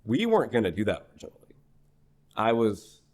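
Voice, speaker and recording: A young man from New Hampshire, a singer-songwriter guest in a podcast interview, telling a story in a rambling, animated way full of restarts. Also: lowish voice, a little fast. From New Hampshire, USA. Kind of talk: casual conversational interview.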